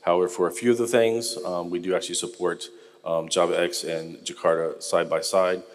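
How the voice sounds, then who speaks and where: A middle-aged American man giving a technical conference talk in a relaxed, conversational way with frequent ums. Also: sits low, a little fast, in a large hall. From United States. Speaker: conference speaker. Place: large conference hall.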